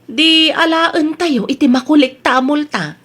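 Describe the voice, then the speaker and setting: A woman's voice: sits high, a little slow, a bit bright. A woman with an Ilocano Filipino accent playing an eager, money-chasing village councilor in a comedy audio drama. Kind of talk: comedy radio drama acting.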